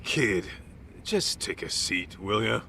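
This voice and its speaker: An actor playing a gruff middle-aged ex-gangster turned detective in a video game dub, talking bluntly and wearily in informal, clipped phrases. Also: male voice, fairly low pitch, expressive, takes it slowly, pushed and bright, a little hiss or hum, exaggerated voice. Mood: weary, exasperated.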